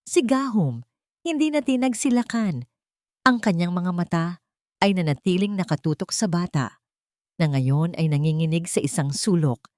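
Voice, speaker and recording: A woman's voice: lowish voice, darkish tone, dry recording, clean studio sound. A woman with a Filipino accent reading a scripted story in a dramatic narrating style. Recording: music behind the voice. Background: music.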